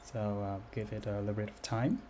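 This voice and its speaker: A man giving an unscripted software demo as its developer, speaking in a halting, hesitant way. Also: on the low side, steady tone. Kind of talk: unscripted screen-recorded demo narration. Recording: noticeable background noise.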